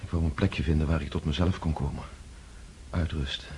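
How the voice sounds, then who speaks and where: A man's voice: extremely low pitch, fairly even intonation, fairly quick, darkish tone, a little hiss or hum. An actor playing a thoughtful nobleman who has lived through war and speaks earnestly and inwardly in a radio drama. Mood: weary. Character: reflective male nobleman recovering from war.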